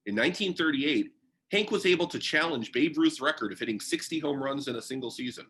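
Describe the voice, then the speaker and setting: A man narrates a biography as if telling a story, reading from a text. His voice is bright and forward, with pitch that moves around in a lively way and an expressive delivery. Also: a little fast. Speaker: reader of a biography. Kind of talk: read-aloud biography narration.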